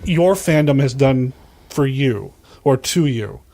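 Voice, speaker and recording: A man's voice: medium pitch, lively intonation, slow, gravelly, mellow, slight background noise. A man hosting a podcast, talking in a casual, conversational style. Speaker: podcast host. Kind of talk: solo show intro and casual interview chat.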